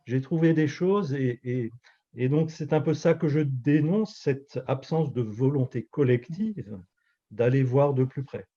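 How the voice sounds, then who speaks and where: Measured Alsatian pastor in his fifties. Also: medium pitch, mellow, dull audio. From Alsace, France. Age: 50s. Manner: measured, reflective, with frequent hesitations. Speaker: pastor and author. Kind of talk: interview answers.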